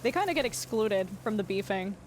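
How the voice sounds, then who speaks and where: A woman's voice: medium pitch, animated, a little fast, noisy background. A woman livestreaming a game, chatting casually with viewers in a rambling way, restarting her sentences and laughing easily. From Canada. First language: English. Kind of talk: casual chat with viewers while playing.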